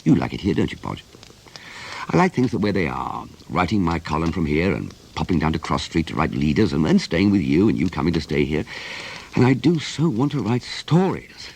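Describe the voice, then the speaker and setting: An actor playing a troubled middle-aged English writer in a radio play, wistful and rueful. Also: male voice, low voice, sing-song, fairly quick, gravelly, a little mellow, slight background noise, heavily acted. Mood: reluctant. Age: 40s.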